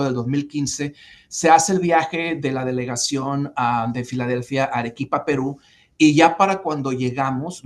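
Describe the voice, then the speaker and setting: Man from Mexico, forties, interview guest, measured storytelling, fillers and restarts, middle pitch. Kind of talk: conversational interview answers.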